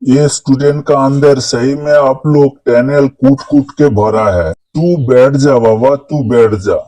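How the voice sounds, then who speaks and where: A man's voice: medium pitch, takes it slowly, dark tone, a little hiss or hum, lightly acted. A man voicing an exasperated, sarcastic schoolteacher in a comedy cartoon, quizzing pupils with a mocking drawl. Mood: wry, commanding.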